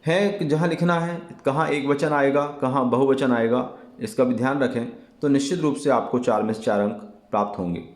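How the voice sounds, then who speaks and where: A man teaching an exam lesson in a deliberate, explanatory way, repeating key phrases as he goes. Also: medium pitch. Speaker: Hindi teacher. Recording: echoey, reverberant room.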